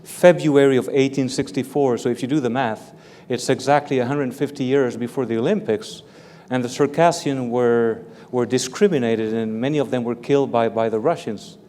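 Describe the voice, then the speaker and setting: A man from Belgium, a native French speaker, answering interview questions as an author and professor, careful and measured, repeating words as he explains. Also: medium pitch, steady tone, mellow. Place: large hall with live audience. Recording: long hall reverb with faint room noise.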